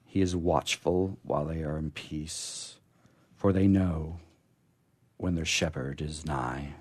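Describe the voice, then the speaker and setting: Male, very low voice, poetry reader, measured delivery, casual commentary. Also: fairly even intonation, a little mellow, breathy edge. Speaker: poetry reader and musician on a radio program.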